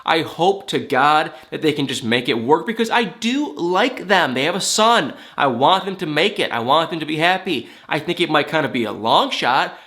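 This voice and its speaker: Bright-voiced man with a head cold. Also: a little high, sing-song, normal speed, few pauses. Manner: chatty, emphatic, opinionated. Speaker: TV recap host. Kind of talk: casual reality TV recap.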